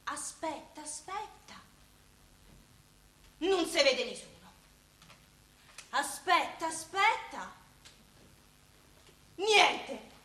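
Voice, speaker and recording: A working-class Roman daughter, excitable and eager to tell her tale. Her voice is very high and bright, with a thick Roman dialect. It rings in an echoey stage space. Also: very slow, pauses often, exaggerated voice. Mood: excited storytelling. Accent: Roman Italian. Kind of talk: Italian stage comedy-drama acting in dialect. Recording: echoey stage recording, faint noise.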